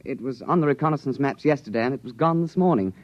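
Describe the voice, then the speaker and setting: An actor playing an earnest, deferential junior lieutenant in a 1950s radio drama, hesitant and formal. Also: male voice, mid-range voice, expressive, quick pace, no echo, close to an everyday voice. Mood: grave. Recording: muffled old radio recording.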